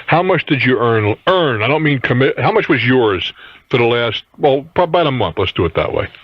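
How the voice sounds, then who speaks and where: A man's voice: mid-range voice, big pitch swings, fairly quick, slightly rough. A man hosting a radio call-in advice show, talking off the cuff in a blunt, argumentative way and readily cutting in. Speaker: radio call-in host giving money advice. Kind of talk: phone-in advice, unscripted conversation. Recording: roomy, dull lo-fi radio tape.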